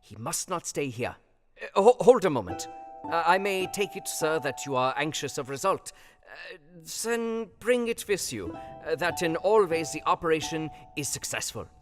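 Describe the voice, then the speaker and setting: A man playing an earnest, commanding foreign professor-doctor in a gothic audio drama, speaking quaint, formal English in a deliberate way. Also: a little high, very animated. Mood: kindly instructing. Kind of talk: dramatised audio reading of a Victorian gothic novel. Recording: long hall-like reverb, music underneath.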